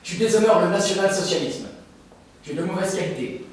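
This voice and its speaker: A man's voice: high voice, expressive, fairly quick, a little hiss or hum. A man playing a domineering factory boss. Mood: harsh. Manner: harsh, commanding stage delivery. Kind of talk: stage play rehearsal. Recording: echoey room, distant mic.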